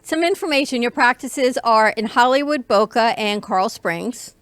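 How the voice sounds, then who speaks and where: A woman's voice: fairly high pitch, animated, normal speed, a bit bright, dry recording. A woman hosting a health talk show with an upbeat, polished presenter delivery. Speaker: show host.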